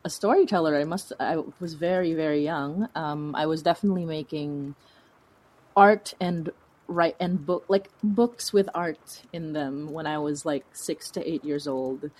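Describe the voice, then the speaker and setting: A woman answers interview questions in casual conversation. Her voice is very low for a woman. She hesitates as she goes and doubles words for emphasis. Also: unhurried, a little mellow. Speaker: podcast guest, creator.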